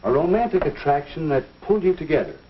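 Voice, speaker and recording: Genial 1950s minister, very lively intonation. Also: male voice, on the high side, a little hiss or hum. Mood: explaining. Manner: avuncular, measured, folksy lecturing. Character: genial, earnest male minister counseling a young couple. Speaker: actor in an instructional film. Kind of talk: 1950s instructional film acting. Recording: dull 1950s film soundtrack.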